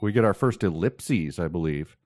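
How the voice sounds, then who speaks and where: A man's voice: low voice, expressive, normal speed, a little mellow. A man co-hosting a podcast, casual and wry, giving mock-serious readings with joking asides. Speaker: podcast co-host. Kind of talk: casual comic book discussion.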